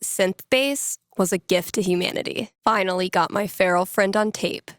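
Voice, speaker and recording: A woman's voice: mid-range voice, moderate pace, dry recording, natural voice. A woman playing a wry young record store worker and nerdy musician in a video game, talking casually to herself with dry sarcasm. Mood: upbeat, playful. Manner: casual, dryly sarcastic, with sighs and playful asides. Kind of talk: video game character thinking aloud.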